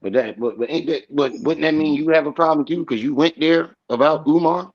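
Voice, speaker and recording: A man's voice: fairly high pitch, fairly quick, dull audio. A man co-hosting a live stream, chatting casually and restarting his sentences often. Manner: rambling, many restarts. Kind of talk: casual commentary chat.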